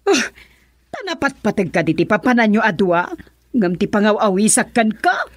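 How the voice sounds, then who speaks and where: A woman's voice: mid-range voice, sing-song, moderate pace, no room sound, studio quality. A Filipino woman playing a stern, controlling middle-aged mother in a radio drama. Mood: insistent.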